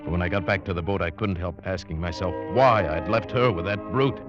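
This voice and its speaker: A man's voice: deep voice, a little fast, over music, lightly acted. An actor playing a solitary, resolute seafaring man, earnest and brooding in an old radio adventure drama. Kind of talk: 1940s-50s radio acting and first-person narration. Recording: muffled old radio recording.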